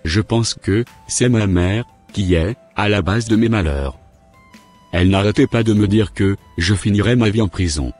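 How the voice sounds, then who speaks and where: A man playing a wayward, sheepish young swindler with a husky voice in an amateur cartoon, music behind him. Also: lowish voice, moderate pace, a little mellow. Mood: subdued, remorseful. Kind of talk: amateur animated drama acting. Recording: background music.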